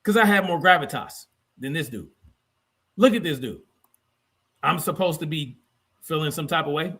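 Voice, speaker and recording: Animated, blunt man on a livestream. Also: a little high, moderate pace, slightly rough. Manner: blunt, profane, repeats phrases for emphasis. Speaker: livestream host. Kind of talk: combative livestream commentary reacting to clips and chat.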